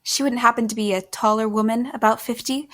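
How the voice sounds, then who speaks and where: A woman's voice: on the high side, fairly even intonation, a little fast, fairly bright tone, close to an everyday voice. An actress playing a frightened, bewildered young woman on the run in an audio drama, speaking in an earnest, hesitant, pleading way. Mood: anxious, earnest.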